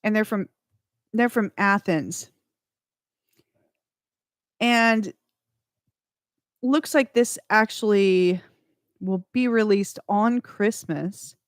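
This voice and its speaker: A woman's voice: mid-range voice, no echo, studio quality. A woman hosting a radio music show, chatting between tracks in a rambling way that corrects itself as she goes. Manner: slow, rambling, self-correcting.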